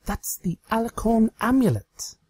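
Casting: high-voiced female pony magician, played by a man, theatrical, breathy and pleading, for storybook narration. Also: sing-song, measured pace, mellow, no echo, exaggerated voice. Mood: feigned alarm.

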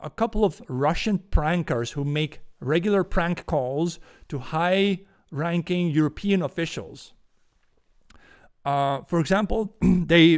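A man in his forties with a Dutch accent, hosting a political commentary podcast from a room at home on a boom-arm studio mic, ranting indignantly. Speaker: podcast host.

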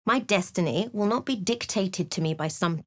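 Female fantasy game companion, played by an actress: wry, irreverent adventurer, mid-pitched, sardonic delivery. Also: lively intonation, moderate pace, close to an everyday voice. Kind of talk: scripted fantasy game dialogue.

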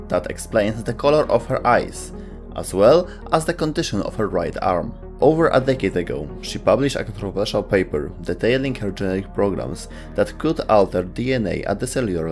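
Low-pitched man narrating over music. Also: darkish tone. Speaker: narrator of a game lore video. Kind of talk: scripted narration.

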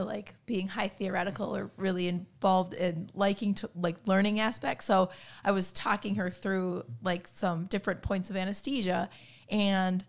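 Chatty woman with a cold. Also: mid-range voice. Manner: candid, chatty, heavy use of "like". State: has a cold, voice worn. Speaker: veterinary podcast co-host. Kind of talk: casual podcast discussion. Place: home room, indoors. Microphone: studio mic on boom arm, headphones.

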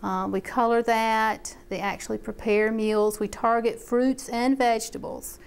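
Middle-aged woman, community agent, conversational and filler-heavy, resonant clear tone. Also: on the high side, fairly even intonation, unhurried. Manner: chatty, with many fillers and restarts. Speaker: extension agent. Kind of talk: interview about a program.

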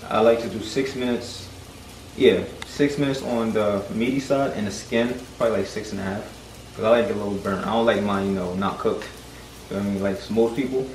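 A man's voice: on the low side, fairly quick. A young man co-hosting a home cooking vlog, chatting casually and informally in slangy speech. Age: young adult. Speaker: home cook and vlog co-host. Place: home kitchen, indoors. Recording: echoey kitchen with loud frying noise. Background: frying sizzle and stirring.

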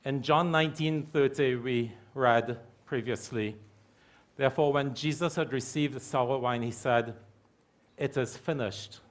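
A middle-aged man preaching a sermon from a church pulpit mic, repeating key phrases slowly for emphasis. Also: medium pitch. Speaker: pastor. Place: church hall with stage curtains.

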